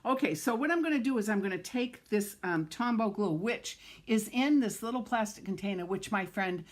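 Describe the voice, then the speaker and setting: A woman's voice: medium pitch, animated, moderate pace. A middle-aged woman with a New England accent, chatting and gushing as she demonstrates card making on a live stream and greets viewers by name.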